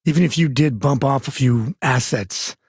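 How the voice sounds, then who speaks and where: Husky, raspy frontier space ranger played by an actor. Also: male voice, mid-range voice, moderate pace, darkish tone, dry recording, studio quality, lightly acted. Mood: matter-of-fact. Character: laid-back frontier space ranger, doting father, wry and plainspoken. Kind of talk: video game character dialogue.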